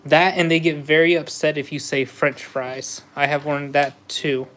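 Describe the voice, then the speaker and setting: A man's voice: fairly high pitch, fairly even intonation, normal speed, a bit bright, a little hiss or hum. A man chatting casually with viewers while building a Lego set on a livestream, easygoing and laughing often. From USA. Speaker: livestream host.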